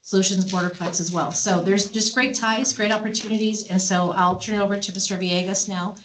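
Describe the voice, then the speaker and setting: A woman with a medium-pitched voice introduces a guest speaker at a government board meeting. She speaks plainly, with a few fillers, over a faint background noise. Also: fairly even intonation, brisk, poor audio.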